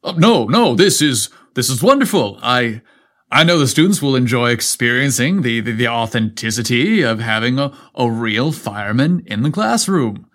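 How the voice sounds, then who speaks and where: A man's voice: mid-range voice, sing-song, moderate pace, fairly bright tone. A man voicing a flustered, polite male schoolteacher who stammers and fumbles over his words in a story. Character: flustered, eager male elementary school teacher, gushing politely.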